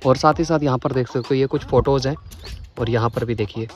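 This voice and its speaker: A man's voice: medium pitch, fast talker, faint music behind. Chatty man touring a zoo. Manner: chatty and enthusiastic, often exclaiming. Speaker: travel vlogger. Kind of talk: casual walking tour commentary. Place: outdoors at a zoo.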